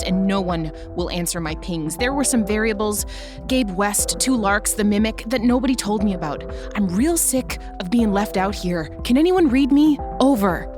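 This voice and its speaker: A woman's voice: mid-range voice, natural voice. A woman playing a blunt, sweary, determined government invigilator in an urgent crisis, in an audio drama. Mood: urgent, alarmed. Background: music.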